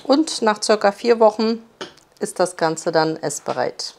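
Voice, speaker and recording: Gravelly woman explaining in a kitchen. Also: medium pitch, animated, unhurried, a little mellow, a little hiss or hum. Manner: practical, step-by-step. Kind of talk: casual cooking explanation.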